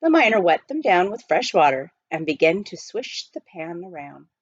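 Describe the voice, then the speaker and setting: A woman reading a children's novel aloud as an expressive storyteller. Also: on the low side, normal speed, no echo, lo-fi sound. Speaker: storybook reader.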